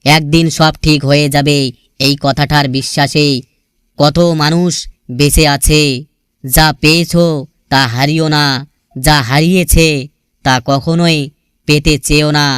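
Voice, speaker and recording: A man with a smooth, resonant voice pitched a little high recites sentimental love sayings. His manner is earnest and melancholy, with a poetic, scripted feel. The recording is close and dry, free of room sound. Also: level tone, measured pace, pauses often, fairly bright tone, no room sound.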